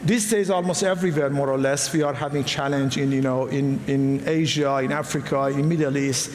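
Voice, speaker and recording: A man's voice: mid-range voice, steady tone. Iranian-accented man speaking in a hall. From Iran. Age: middle-aged. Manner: earnest, halting, frequent restarts. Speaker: guest speaker. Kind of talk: personal talk to a live audience. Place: large hall. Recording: echoey hall, faint room noise.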